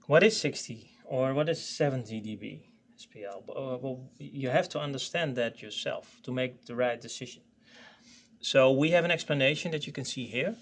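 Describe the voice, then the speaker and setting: A man explaining technical settings in an informal training session, haltingly, often repeating words as he searches for phrasing. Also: mid-range voice. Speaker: product expert explaining software.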